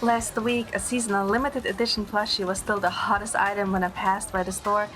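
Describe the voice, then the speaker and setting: A woman playing a gentle young heroine, narrating her romance in the first person as scripted audio drama. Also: medium pitch, little pitch movement, a little fast, close to an everyday voice.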